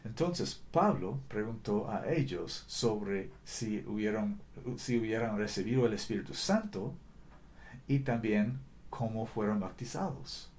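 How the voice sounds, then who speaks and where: A man preaching a sermon in a language that is not his first, earnest and deliberate, often correcting himself mid-sentence. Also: fairly low pitch, animated, darkish tone. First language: not Spanish.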